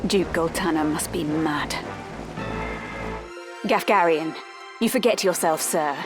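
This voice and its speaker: A woman's voice: low voice, slow. An actress playing a haughty female knight in a fantasy game, commanding and formal in archaic speech, over music. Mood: indignant command. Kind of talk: dramatic fantasy game voice acting. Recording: game clip under loud music.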